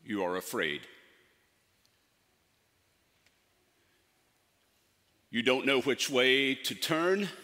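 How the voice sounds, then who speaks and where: A man performing a theatrical monologue as a solemn, commanding ancient prophet, speaking deliberately with dramatic pauses. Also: medium pitch, pushed and bright. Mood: grave, knowing. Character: prophet Isaiah, solemn, commanding, counseling a fearful king. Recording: echoey hall with long reverb.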